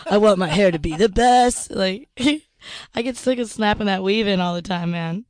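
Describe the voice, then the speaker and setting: A woman in her twenties hosting a podcast, with a deep voice and dry, self-deprecating humor. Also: expressive, measured pace, no room sound. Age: 20s. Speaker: podcast host. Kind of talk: casual podcast banter.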